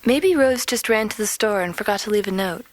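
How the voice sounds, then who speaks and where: A woman's voice: mid-range voice, lively intonation, brisk, close to an everyday voice. An actress playing an anxious, earnest teenage girl in an audio drama. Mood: anxious, musing.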